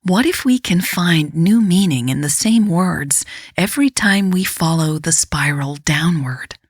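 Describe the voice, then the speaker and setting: Woman doing scripted story narration, really slow n thoughtful, slightly husky, measured delivery. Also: lowish voice, expressive, no echo, clean studio sound. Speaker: podcast storyteller. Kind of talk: scripted narration of fiction, read as a book.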